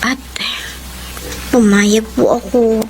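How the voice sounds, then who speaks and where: A woman acting a sweet, anxious young woman in love in a Filipino radio drama, expressive and pleading, with a Filipino accent. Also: a little high, takes it slowly, a little hiss or hum. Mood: nervous pleading. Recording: music under the voice.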